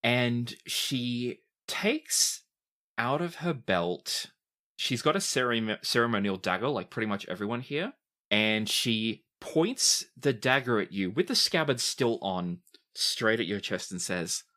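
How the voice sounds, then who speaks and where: A woman's voice: extremely low pitch, expressive, measured pace, fairly bright tone. A woman acting as game master, narrating a tabletop role-playing game.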